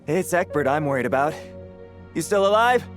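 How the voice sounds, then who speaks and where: An actor playing a gruff, blunt knight soldier. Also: male voice, fairly high pitch, expressive, moderate pace, music in the background, natural voice.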